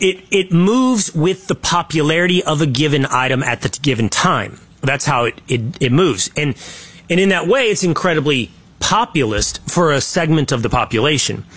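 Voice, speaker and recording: A man's voice: a little high, expressive, normal speed, fairly bright tone, slightly rough, no echo. A man from Texas with a faint Texan accent, hosting a casual panel chat and giving his opinions. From Texas, USA. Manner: conversational, opinionated, with restarts. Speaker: show host.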